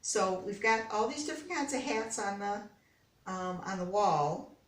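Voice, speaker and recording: A woman reading a children's story aloud, pausing to explain words and pictures as she goes. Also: mid-range voice, lively intonation. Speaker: storybook reader. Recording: echoey room, distant microphone.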